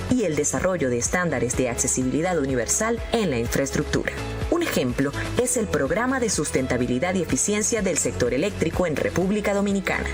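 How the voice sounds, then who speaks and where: Female VO, deep and animated, quick read, music bed underneath. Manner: brisk, upbeat promotional delivery. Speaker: narrator of an institutional ad. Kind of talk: scripted narration. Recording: voice-over with clear music bed.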